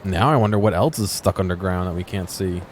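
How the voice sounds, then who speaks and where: A man's voice: low voice, fairly quick, slight background noise. A man giving casual gameplay commentary as a streamer, musing aloud and often questioning himself. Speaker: video game streamer.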